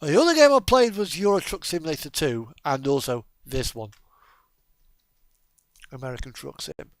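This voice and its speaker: High-pitched, animated man chatting casually. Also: moderate pace, no echo. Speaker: video game streamer. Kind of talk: casual gaming chat.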